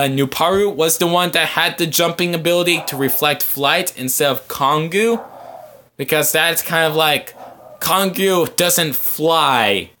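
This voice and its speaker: A man hosting a casual fan podcast, answering viewer comments in a halting, rambling way as he thinks aloud. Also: fairly high pitch, fairly bright tone. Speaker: podcast host.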